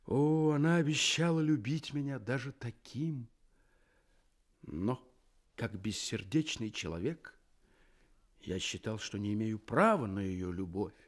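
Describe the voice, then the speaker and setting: A man's voice: medium pitch, expressive, close to an everyday voice. An actor playing a sentimental, courteous iron woodman in a radio play, speaking earnestly and dramatically. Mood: sorrowful. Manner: earnest, dramatic, drawn-out delivery. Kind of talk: theatrical Soviet radio play acting.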